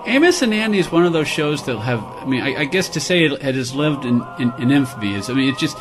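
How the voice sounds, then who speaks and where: A man hosting a radio talk show, speaking in halting bursts and repeating words as he thinks. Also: medium pitch, big pitch swings, over music. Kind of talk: casual chat, also reading an ad.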